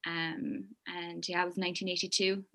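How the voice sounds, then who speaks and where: Bright-voiced Irish woman, chatty. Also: lowish voice, little pitch movement, normal speed. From County Limerick, Ireland. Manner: laughs often, chatty, trails off softly. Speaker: guest, make-up artist. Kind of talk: casual interview chat.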